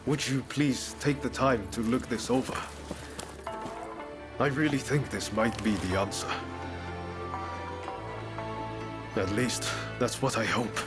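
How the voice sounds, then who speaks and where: A man's voice: mid-range voice, fairly even intonation, measured pace, natural voice. An actor playing an earnest, impassioned Japanese man with bold ideas in a video game cutscene. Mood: quiet, hopeful. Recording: loud music behind.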